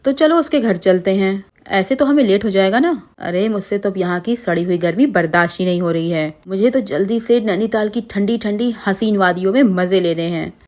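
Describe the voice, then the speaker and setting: A woman's voice: medium pitch, moderate pace, few pauses, a little mellow, lightly acted. A woman voicing impatient young men in a cartoon story, with casual grumbling banter.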